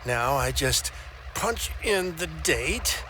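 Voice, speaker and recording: A scheming, dreamy custodian inventor from a children's puppet show, acted by a man. The voice is high and swings widely, with stammering, theatrical flourishes. Faint noise sits behind it. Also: lively intonation, takes it slowly. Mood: gleeful, boastful.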